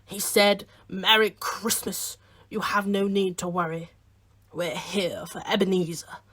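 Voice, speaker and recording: A woman narrating a radio play as a storyteller, theatrical and breathy. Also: fairly low pitch. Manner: theatrical, hushed at times.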